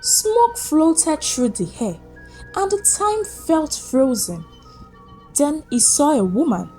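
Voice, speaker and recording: A woman narrating a scripted folktale as a storyteller, with suspenseful, deliberate phrasing. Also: high-pitched, sing-song, mellow. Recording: music behind the voice. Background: background music.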